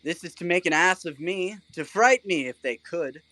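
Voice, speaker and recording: An actor playing a pompous, oblivious and stubborn weaver who fancies himself an actor, in a comic Shakespearean audio play. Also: male voice, high-pitched, expressive, normal speed, bright, forceful tone, dry recording, poor audio. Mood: indignant. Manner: hammy, declamatory. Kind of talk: theatrical comic Shakespearean audio drama acting.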